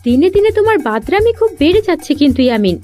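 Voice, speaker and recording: A very high, animated cartoon mother voiced by a woman who nags and scolds her little boy. She sounds exasperated but caring, with faint music behind her. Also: racing. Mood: firm. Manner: nagging, exasperated.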